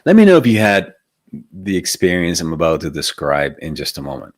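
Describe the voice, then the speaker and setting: A man's voice: low voice, big pitch swings, fairly quick, dry recording, studio quality. A man from Venezuela, giving a reflective spiritual talk as a meditation teacher and often asking rhetorical questions. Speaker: meditation teacher hosting a live stream.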